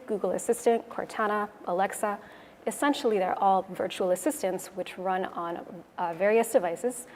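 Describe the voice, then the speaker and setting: A woman from Toronto with a Canadian English accent gives a prepared conference talk in a clear, measured, explanatory way. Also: medium pitch, a little mellow. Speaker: conference speaker, web developer. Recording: echoey hall, noisy room ambience.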